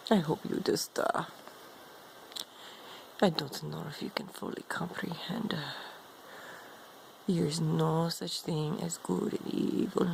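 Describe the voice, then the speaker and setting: A woman playing an ancient female vampire demon in an improvised role play, speaking in a haughty, teasing, seductive way with a gravelly voice. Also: a little hiss or hum, exaggerated voice, poor audio. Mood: condescending, coaxing. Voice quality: gravelly, low, creaky. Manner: slow, haughty, teasing, calls listener darling. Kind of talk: improvised in-character role play monologue to viewer.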